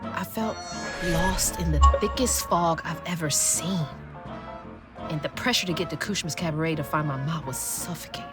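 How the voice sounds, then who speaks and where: A woman's voice: low-pitched, moderate pace, close to an everyday voice. An actress playing a determined, anxious young Southern US woman searching for her mother in a video game, earnest and emotionally open. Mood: reflective. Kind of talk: cinematic video game acting with reflective narration. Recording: music behind the voice.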